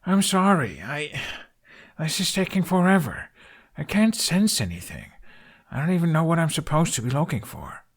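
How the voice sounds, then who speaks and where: Audiobook boy hero, acted by a man: impatient, whiny teen dragon rider, very animated, emphatic, quick to argue back. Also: on the high side, pitched above the performer's own voice. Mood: whining. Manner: exasperated, protesting, emphatic.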